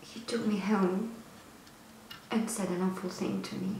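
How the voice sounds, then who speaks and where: An actress performing a stage monologue as a young woman haunted by a painful memory, moving between hushed whispers and loud outbursts. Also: medium pitch, steady tone, unhurried, vocal fry. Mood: wistful. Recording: echoey room, faint noise.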